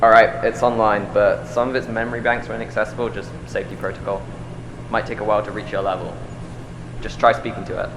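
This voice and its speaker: A man playing a pragmatic, slightly harried AI scientist in an audio drama, brisk and matter-of-fact in a natural style. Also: lowish voice, little pitch movement, noisy background, lightly acted. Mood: explanatory.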